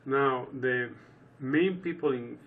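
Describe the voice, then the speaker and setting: A man hosts a radio interview, asking his questions conversationally and circling back with restarts. His voice sits at an ordinary pitch. He is somewhat off mic, with faint background hiss. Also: slow pace, recorded from a distance, narrow, tinny audio.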